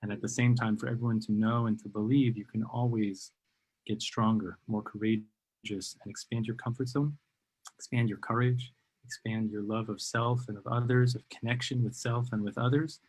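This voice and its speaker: A man's voice: fairly low pitch, flat delivery, normal speed, a little mellow, breathy edge, low-quality recording. A man hosting a live-stream interview, enthusiastic and rambling, with many ums and uhs. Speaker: host of a live video interview show.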